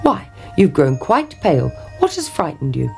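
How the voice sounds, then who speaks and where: A woman's voice: low voice, wildly swooping pitch, moderate pace, vocal fry, darkish tone, faint music behind, heavily acted. A woman voicing a sensible, stern king and father in a firm, admonishing manner for a storybook. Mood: concerned.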